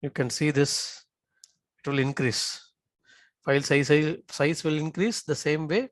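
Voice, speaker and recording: A man giving a live software tutorial as an instructor, often checking in with short tag questions. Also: fairly high pitch, steady tone, a little slow, no room sound.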